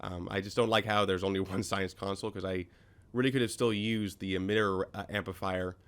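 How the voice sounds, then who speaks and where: A man's voice: deep voice, steady tone, a little fast, fairly bright tone, clean tone. A man hosting a casual game commentary, his voice slightly scratchy from a recent cold. He rambles through explanations with many ums and self-corrections. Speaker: gaming video host.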